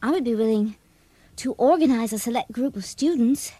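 A woman plays a theatrical schoolgirl in a radio drama. Her voice is fairly high with a husky edge. She speaks with flustered, affected formality, like a teenager hopelessly in love. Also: moderate pace, mellow, no echo, close to an everyday voice. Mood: nervous, earnest.

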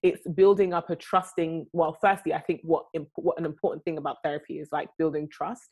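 A woman's voice: low-pitched, a little fast, breathy edge. A young woman in casual chat, talking at length in long runs with lots of fillers. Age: young adult. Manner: animated, talks in long runs with many fillers.

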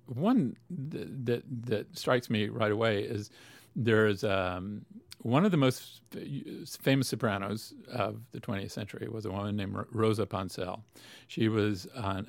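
A man's voice: fairly low pitch, creaky, dry recording. A man answering interview questions about opera in a thoughtful way, with many fillers and restarts. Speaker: opera expert guest.

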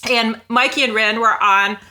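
An upbeat woman chats quickly as a podcast guest. Her voice sits a little high and comes across bright and clear. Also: steady tone. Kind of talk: casual podcast chat.

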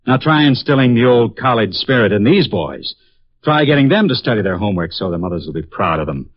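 An actor playing a brooding, cynical young psychology professor in a 1950s radio drama, speaking in a somber way. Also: male voice, lowish voice, very animated, a little fast. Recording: dull lo-fi 1950s radio broadcast.

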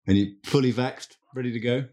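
A man hosting a podcast, introducing and interviewing his guest in a brisk, chatty manner. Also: mid-range voice, fairly even intonation, warm tone. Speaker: podcast host. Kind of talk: interview host chat.

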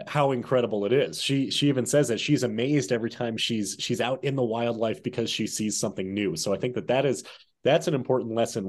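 A man with a mid-pitched voice co-hosts a podcast. He talks conversationally, with an enthusiastic and affirming manner, like someone guiding a friendly interview. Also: a little mellow. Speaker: podcast co-host.

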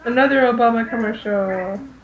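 A woman's voice: a little high, a little fast, phone-quality sound, very noisy. A woman chatting casually as a podcast panelist. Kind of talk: casual chat.

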